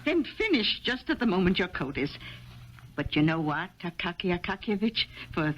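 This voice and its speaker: A woman's voice: fairly low pitch, sing-song, normal speed, a bit bright. An actress playing a dry, kindly, plain-spoken woman tailor in a 1970s radio drama. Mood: frank, firm. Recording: dull vintage 1970s radio broadcast.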